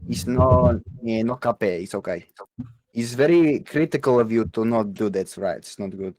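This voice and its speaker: A man's voice: medium pitch, animated, normal speed, darkish tone, no echo. A man rambling absurdly and casually in broken, slangy in an online chat.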